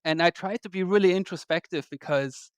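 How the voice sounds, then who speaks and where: A man, a podcast guest explaining his work in a measured, polite way. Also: sits high, clear, resonant tone, no room sound. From Austria. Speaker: guest investor. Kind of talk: podcast interview.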